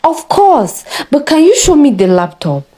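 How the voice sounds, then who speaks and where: Woman as a young female student, calm, probing, fairly high voice, lively intonation. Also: unhurried. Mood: sweet, curious. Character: curious, sharp-minded young female university student.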